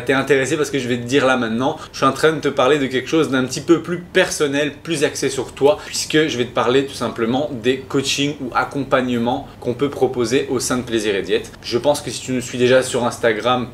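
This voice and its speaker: A young man, a nutrition coach, chatting casually in a vlog as he explains his food and daily steps. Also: medium pitch. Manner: chatty, explaining step counts and food as he goes. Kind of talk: casual vlog chat to camera. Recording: faint background noise.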